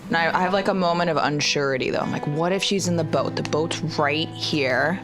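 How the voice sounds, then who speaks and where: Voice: mid-range voice, a little fast. A player talking casually and hesitantly while roleplaying in a tabletop game. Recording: music clearly behind the voice. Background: plucked guitar music.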